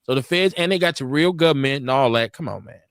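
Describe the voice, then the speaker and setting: Man, 40s, animated podcast host, emphatic, dry close sound. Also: medium pitch, quick pace, gravelly, smooth, no echo. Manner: animated, emphatic, restarts often. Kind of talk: casual chat.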